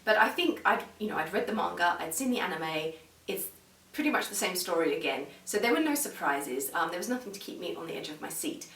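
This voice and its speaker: Female reviewer, measured and conversational, lowish pitch, recorded at a distance from the microphone. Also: expressive, a bit bright, a little hiss or hum. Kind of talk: casual video review. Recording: echoey room, distant microphone.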